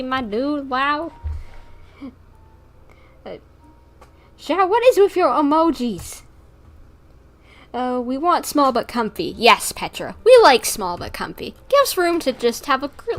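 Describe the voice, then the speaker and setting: A woman's voice: high-pitched, very animated, measured pace, long pauses. Woman streamer chatting casually, rambling along. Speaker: live streamer. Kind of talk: casual livestream chat with viewers.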